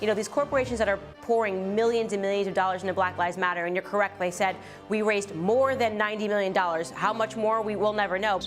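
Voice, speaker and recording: A woman talks politics as an opinionated commentator. Her delivery is quick and conversational, at an everyday pitch, with music faintly in the background. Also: steady tone.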